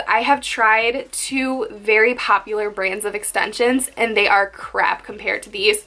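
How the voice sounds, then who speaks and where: A young woman vlogging about her makeup favorites in a chatty, candid way, a little croaky from being sick. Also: on the high side, sharp tone. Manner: chatty and candid, rambling asides. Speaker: beauty vlogger. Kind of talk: casual makeup favorites chat to camera.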